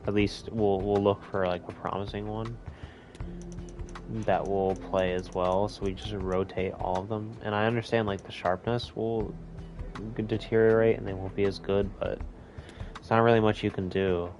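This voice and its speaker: An American man giving rambling, casual gameplay commentary at home, chuckling often and quick to rant. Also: on the low side, level tone, darkish tone, soft background music. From United States. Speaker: gaming video host. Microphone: home recording setup.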